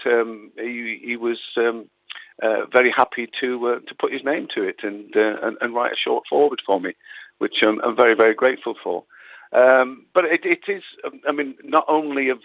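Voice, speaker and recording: A man's voice: mid-range voice, no echo. A man from Wales, an author guest on a radio interview, talking hesitantly with lots of ums and restarts. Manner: hesitant, many fillers and restarts. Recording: tinny phone line.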